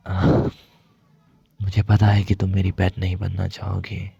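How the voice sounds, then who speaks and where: Guy acting as soft lovesick mafia son, really gravelly low-energy asmr murmur, slow and halting. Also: monotone, highs cut off, exaggerated voice. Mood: apologetic, reluctant. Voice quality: gravelly, husky, breathy. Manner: hesitant, halting, confiding, slipping into whispers. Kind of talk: intimate ASMR roleplay monologue.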